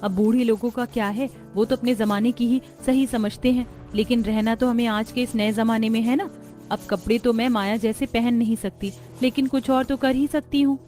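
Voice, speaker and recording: A woman's voice: a little high, steady tone, moderate pace, dark tone, lightly acted. A woman voicing a modest, thoughtful young housewife in a cartoon story. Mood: musing. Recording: music bed under voice.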